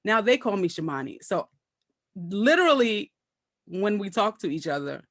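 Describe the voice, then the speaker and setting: A woman with a Brooklyn accent, a comedian guest chatting casually and telling animated stories with frequent laughter. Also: mid-range voice, moderate pace, no echo, studio quality. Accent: Brooklyn, New York. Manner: laughs often, animated storytelling. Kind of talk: casual interview chat.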